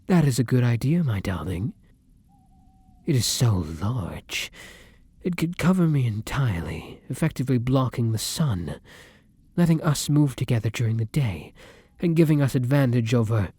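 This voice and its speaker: A man playing a courtly, starving vampire lover in an ASMR roleplay, speaking formally and deliberately with dramatic pauses and frequent drops to a whisper. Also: mid-range voice, darkish tone, dry recording. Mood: intrigued. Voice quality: breathy, often dropping to a whisper. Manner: formal, archaic, endearment-laden, deliberate speech with dramatic pauses. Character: kind, courtly but starving vampire lover, turning predatory. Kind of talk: ASMR roleplay addressed to the listener.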